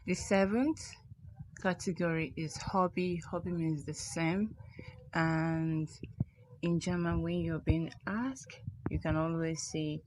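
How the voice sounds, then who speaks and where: A woman giving a language lesson, explaining deliberately and enunciating example phrases. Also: deep voice, fairly even intonation, a little mellow, no echo, low-quality recording. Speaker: German language teacher.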